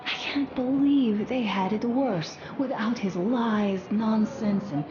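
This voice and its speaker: Pensive young wife in a post-apocalyptic game. Slow, tender delivery, roomy sound over audible noise. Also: female voice, a little high, dull audio. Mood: puzzled, pensive. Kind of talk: dramatic game dialogue. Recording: echoey room with clear background noise.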